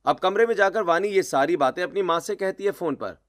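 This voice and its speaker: A man's voice: high voice, a little fast, fairly bright tone. A man narrating a scripted moral story in a storyteller's role.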